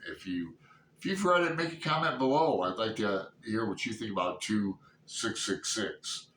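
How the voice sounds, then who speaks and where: A man in his sixties chatting casually and unscripted about books, halting and rambling as he thinks aloud. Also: fairly low pitch, lively intonation, fairly bright tone. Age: 60s. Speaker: book reviewer vlogger. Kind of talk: casual unscripted book chat. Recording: roomy echo, distant mic, background noise.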